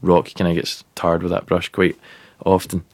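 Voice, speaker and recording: A man in his thirties with a Glasgow accent, a musician chatting casually in an interview, rambling and relaxed with lots of fillers. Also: low-pitched, moderate pace, no echo. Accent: Glaswegian. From Scotland. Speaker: musician guest.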